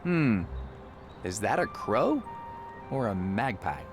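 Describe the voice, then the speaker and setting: Lively, awkward young inventor. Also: male voice, on the low side, takes it slowly, over music, heavily acted. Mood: musing. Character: excitable, awkward, earnest young male rocket inventor. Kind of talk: adventure game acting.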